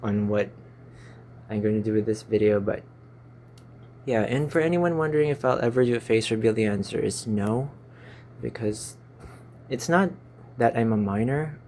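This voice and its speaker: A man's voice: fairly low pitch, normal speed, long pauses, warm tone, slight background noise. A man in his twenties with a Filipino accent, chatting casually to his channel and stumbling over his words, recorded on a phone in a small stuffy room. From Philippines. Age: 20s. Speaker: YouTuber. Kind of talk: casual rambling vlog chat.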